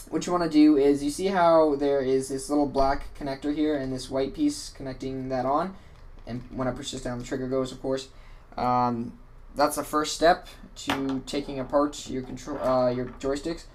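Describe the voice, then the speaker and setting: A man's voice: mid-range voice, a little hiss or hum. A man hosting a casual how-to walkthrough, talking in a rambling, offhand way and correcting himself often, his voice tired and a little worn. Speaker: tutorial host.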